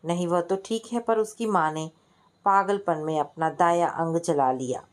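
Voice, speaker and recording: A woman's voice: lowish voice, unhurried, natural voice. A woman voicing a young male student in a story, a blunt, loyal friend with a firm, matter-of-fact manner. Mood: earnest.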